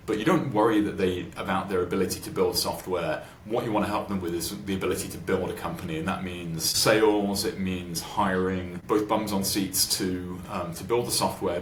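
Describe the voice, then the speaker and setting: A man with a low voice speaks in a roomy, echoing space with clear noise behind him. He explains things informally, like a podcast guest answering questions. His phrasing is colloquial and conversational. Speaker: venture capital investor, podcast guest.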